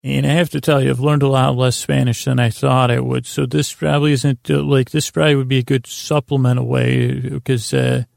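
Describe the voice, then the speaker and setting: A man hosting a sleep podcast, rambling in a halting, meandering way with lulling, soothing tones. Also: mid-range voice, flat delivery, darkish tone, no room sound, studio quality. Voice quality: creaky, gravelly. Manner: meandering, hesitant, halting, trailing off into tangents. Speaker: podcast host.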